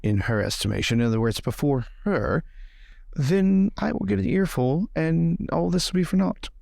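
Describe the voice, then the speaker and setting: A man voicing a reluctant, wary gentleman who frets over social duty in an improvised tabletop roleplay. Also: a little high, expressive, normal speed, creaky, no echo, clean studio sound. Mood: wary, planning.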